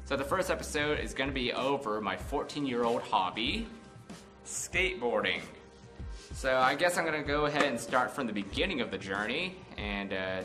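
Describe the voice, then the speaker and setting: A man's voice: fairly low pitch, pushed and bright. An American man in his twenties telling a casual, unscripted story in a rambling, conversational way. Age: 20s. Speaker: vlog host.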